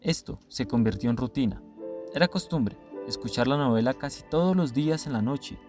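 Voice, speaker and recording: Soft music runs under a man's mid-pitched voice. He reads scripted narration as a documentary narrator. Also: expressive, moderate pace.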